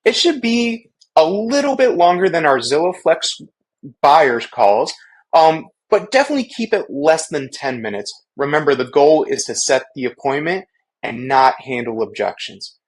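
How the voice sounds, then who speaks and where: A man's voice: on the high side, sing-song, moderate pace. A man working as a real estate sales trainer, giving an instructional talk in a coaching way, often checking in with his listeners. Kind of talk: instructional training talk with role-played example phone lines.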